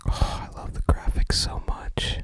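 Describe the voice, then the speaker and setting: A person whispering softly while hosting an ASMR video game playthrough and reading game text aloud. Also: unhurried. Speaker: host of a gaming ASMR channel. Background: game music.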